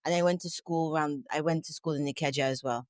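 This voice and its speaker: A woman from Nigeria with a notably deep voice, telling stories in a chatty, unhurried way. She is close to the mic in a dry room with no echo. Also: steady tone. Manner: chatty and warm storytelling, frequent "like" fillers. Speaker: guest, fashion founder. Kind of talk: casual remote interview chat. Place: remote call from Lagos.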